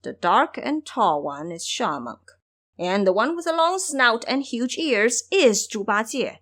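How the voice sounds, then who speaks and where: A woman's voice: fairly high pitch, sing-song, unhurried, a bit bright, exaggerated voice. Woman voicing a commanding, mocking monster king. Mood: pointing out. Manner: commanding, taunting.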